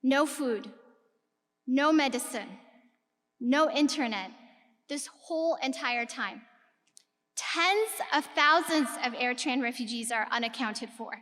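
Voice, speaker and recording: Woman, keynote speaker, from Ethiopia, earnest, rhetorical questions, bright tone, reverberant lecture hall. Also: sits high, unhurried, long pauses, slight background noise. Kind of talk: speech to a live audience. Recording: long reverb of a large hall.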